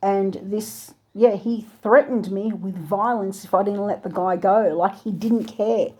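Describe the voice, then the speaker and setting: A woman earnestly recounting a dream, halting now and then to search for words. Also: medium pitch, fairly even intonation, dark tone, breathy edge. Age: adult with a three-year-old daughter. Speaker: channel host recounting a dream. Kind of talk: personal dream testimony.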